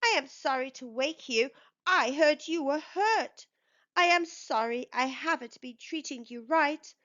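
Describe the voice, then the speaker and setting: A woman's voice: high-pitched, animated, a little slow, a bit bright, highs cut off. A woman voicing an earnest, sweet-toothed male beaver in a children's storybook, speaking with emphasis. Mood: apologetic.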